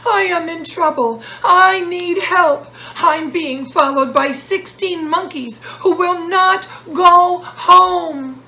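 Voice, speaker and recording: Woman reading as an indignant storybook peddler, pitched high. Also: takes it slowly, few pauses, bright, forceful tone, exaggerated voice. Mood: upset. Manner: exclamatory, emphatic. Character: flustered, indignant male cap peddler calling and wailing. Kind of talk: storybook character voice.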